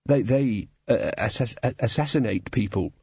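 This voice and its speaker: A man's voice: mid-range voice, moderate pace, a little mellow, breathy edge, no echo, muffled recording. A man voicing a timid, reluctant henchman in a storybook reading, with a heavy stammer and hesitant, polite delivery. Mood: flustered.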